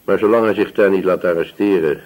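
A man's voice: sits low, brisk, darkish tone, lightly acted, low-quality recording. A man acting an obliging, gossipy village police sergeant in a radio drama, chatting deferentially with small hesitations. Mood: confiding.

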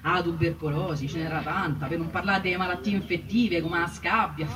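A woman's voice: fairly low pitch, fairly even intonation, brisk. A woman with a Roman accent telling family history as colloquial, conversational spoken testimony. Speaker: stage storyteller recalling family history. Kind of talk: spoken testimony in music theatre. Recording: distant from mic, clear crowd noise.

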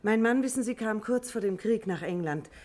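An actress playing a talkative, guarded but helpful hotelier's wife in a 1950s German radio drama. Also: female voice, mid-range voice, animated, moderate pace, darkish tone, close to an everyday voice. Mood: chatty.

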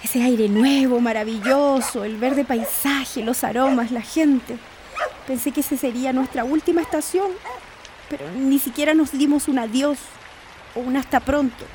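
A woman acts a nostalgic, teasing wife in a radio play. Her voice is high, with a light rain-like noise behind it. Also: fairly even intonation, moderate pace, natural voice. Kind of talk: radio drama acting.

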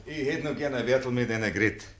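An actor plays a courteous, hesitant Bavarian farmhand. The scene comes from 1950s radio drama, with room echo and clear background noise. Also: male voice, mid-range voice, fast talker, close to an everyday voice. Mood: earnest, tentative. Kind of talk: 1950s radio play acting. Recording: echoey, noisy old radio recording.

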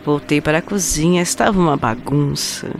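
A woman playing a macabre killer who recounts her crime in a deliberate, intimate way, in an audio horror drama. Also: low voice, gravelly. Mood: cold, methodical. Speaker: voice actor narrating an audio drama. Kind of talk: dramatized first-person horror story narration. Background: background music.

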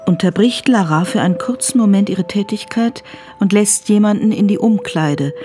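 A woman reading scripted drama narration in a measured, unhurried manner. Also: medium pitch, dark tone, soft background music. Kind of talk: scripted present-tense narration.

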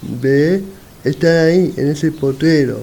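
A man's voice: fairly high pitch, slow, vocal fry, warm tone. A man playing a frank, kindly village blacksmith who sells horses, speaking plainly in an amateur radio play. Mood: frank, friendly. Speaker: amateur radio theatre actor. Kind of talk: radio theatre acting.

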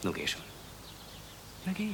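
An actor playing a kindly village doctor in a radio drama, speaking gently and soothingly. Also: male voice, unhurried, slight background noise. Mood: soothing, regretful. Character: kindly adult male village doctor calming a child. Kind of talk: hushed German radio drama acting.

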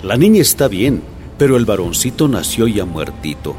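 Low-voiced somber doctor. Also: male voice, big pitch swings, normal speed, warm tone, soft background music. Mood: grave. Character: somber male hospital doctor giving grave news. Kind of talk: radio drama acting.

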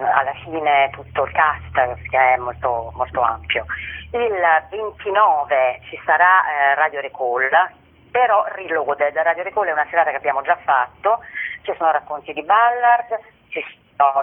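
A man giving an informal, wordy presentation of a theatre programme, often digressing and correcting himself. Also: on the high side. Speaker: theatre company member presenting a festival programme. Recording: tinny, narrow phone or radio sound.

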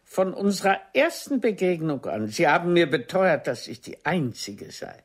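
Stolze alternde Theaterdiva mit belegter Stimme. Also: female voice, on the low side, sing-song, normal speed. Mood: accusing. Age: older. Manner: theatrical, cutting, formal address. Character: proud ageing stage actress, reproachful, theatrical former lover. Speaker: radio drama actress. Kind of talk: German radio play dialogue.

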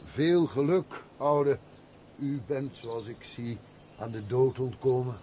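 An actor playing an earnest, deferential manservant in a radio play, speaking formally and theatrically. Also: male voice, mid-range voice, slow pace, warm tone, lightly acted. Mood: hearty. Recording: noisy old radio play recording.

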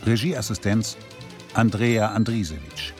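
A man working as an announcer, reading scripted radio drama credits in a formal, deliberate manner. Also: lowish voice, faint music behind.

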